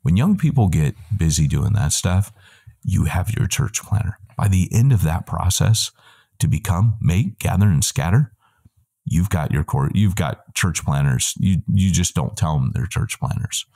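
Middle-aged guy on a video call from home, really low voice, animated, keeps throwing in asides and fixing himself mid sentence. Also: creaky, breathy edge. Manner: animated, with quick asides and self-corrections. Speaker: guest, church planting trainer. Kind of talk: long conversational answer. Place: indoor room at home. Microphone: wired earbuds on a video call.

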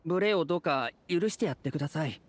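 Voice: medium pitch, expressive, quick pace, a little hiss or hum, lightly acted. An actor voicing a humble, anxious citizen of a fairytale town in a Japanese video game, speaking formally and earnestly. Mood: humble, apologetic. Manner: formal, deferential, earnest speech.

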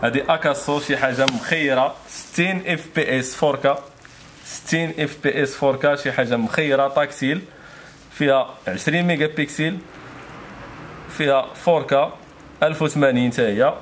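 A man's voice: mid-range voice, brisk, a bit bright. A man in his thirties with a Moroccan accent presenting products informally in a shop, with repetitive salesman patter. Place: small motorbike accessory shop, indoors. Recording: clearly noisy shop ambience.

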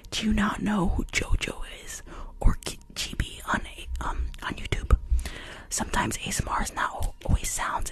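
A young woman ASMR streamer chatting casually to her viewers in a breathy whisper, close to the microphone. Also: medium pitch. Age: young adult. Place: small room indoors. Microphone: close desk microphone.

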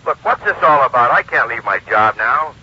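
A man's voice: mid-range voice, animated, normal speed, bright, forceful tone, husky, lo-fi sound, heavily acted. An actor playing a wary, irritated working man answering a long-distance call over the phone. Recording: old radio broadcast.

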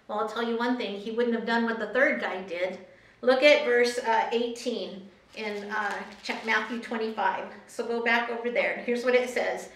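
A middle-aged woman with an American accent preaches in a conversational way, posing questions as she explains scripture. Her voice sits fairly high. It is recorded in an echoey church room from a slight distance. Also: a bit bright. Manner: conversational, asking rhetorical questions with check-ins like "right?". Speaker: pastor. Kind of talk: sermon, explaining parables and reading scripture. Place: small church sanctuary, indoors.

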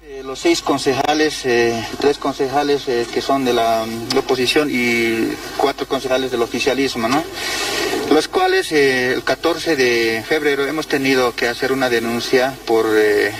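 A middle-aged man with a Bolivian Spanish accent giving a halting, unscripted statement as a councillor into press microphones outdoors. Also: mid-range voice, animated, fairly bright tone, a little hiss or hum. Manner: halting, repeats phrases, tags with "¿no?". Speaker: municipal councillor. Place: outdoors on a street beside parked vehicles. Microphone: cluster of handheld press microphones. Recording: slightly distant press microphones.

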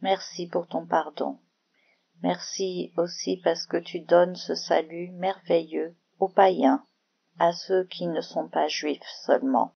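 A woman's voice: lowish voice, steady tone, slow, vocal fry, husky, dry recording, highs cut off. A woman telling and explaining Bible stories to children in a simple, explanatory way, as if speaking to one child. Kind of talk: narrated children's Bible lesson with prayer.

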